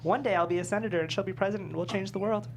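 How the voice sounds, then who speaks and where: A man at a comedy table read playing an earnest, anxious, sweet young intern with a halting delivery. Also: high-pitched, a little hiss or hum.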